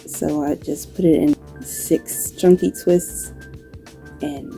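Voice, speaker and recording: Low, mellow woman with New York accent. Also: steady tone, slow, soft background music. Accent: New York with occasional Southern touches. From New York, United States. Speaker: video creator and graphic designer. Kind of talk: casual talk to camera answering questions.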